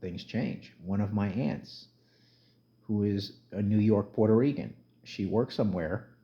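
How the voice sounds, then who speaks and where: Middle-aged New man, low voice, blunt vlog commentary; dull lo-fi sound. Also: unhurried, mellow. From New Jersey, USA. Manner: blunt, conversational, emphatic rants with plain talk. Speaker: YouTube commentator. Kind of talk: casual spoken commentary vlog.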